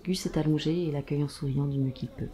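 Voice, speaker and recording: Low, mellow woman narrator. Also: fairly even intonation, fast talker. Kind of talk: scripted audio drama narration.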